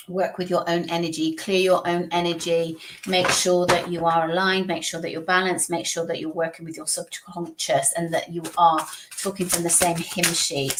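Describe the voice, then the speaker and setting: A woman's voice: lowish voice, heavy background noise. Chatty woman reading tarot. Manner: chatty, repeats phrases, reassuring spiritual talk. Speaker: tarot reader hosting a live stream. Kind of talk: casual live-stream tarot reading. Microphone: streaming setup.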